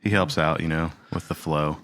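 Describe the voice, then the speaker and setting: A man with a very low voice that still sounds bright and edgy, chatting easygoingly on a podcast. The sound is close and dry, and he drops in jokey asides. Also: steady tone, normal speed, dry recording. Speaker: podcast co-host. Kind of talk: casual chat.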